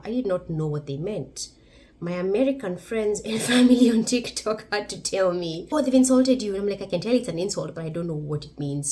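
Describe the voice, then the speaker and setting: A woman's voice: lowish voice, dark tone. Blunt Kenyan woman vlogging. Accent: Kenyan English. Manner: emphatic, blunt, laughs between points. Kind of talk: opinion vlog commentary.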